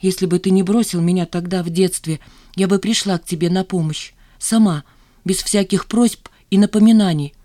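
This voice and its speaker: A woman's voice: on the low side, steady tone, moderate pace, warm tone, no echo, studio quality, close to an everyday voice. A woman voicing a hurt, indignant woman in her thirties, reproachful and emphatic, as audiobook dialogue.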